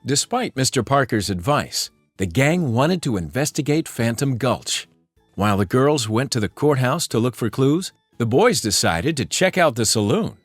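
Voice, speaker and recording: A man reading a children's story aloud as narrator in an animated, expressive style. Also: medium pitch, moderate pace, no echo, soft background music.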